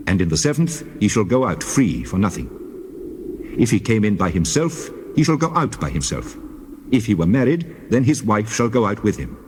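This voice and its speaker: A man playing God as a solemn, commanding deity, measured and declamatory in a dramatized scripture reading. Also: on the low side, a little mellow, a little hiss or hum.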